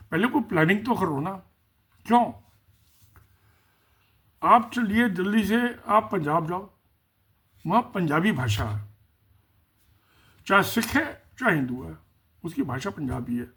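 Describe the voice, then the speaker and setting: A man's voice: high voice, expressive, measured pace, long pauses, a bit bright, slightly rough. A man giving casual spoken political commentary, musing and repeating himself, asking rhetorical questions.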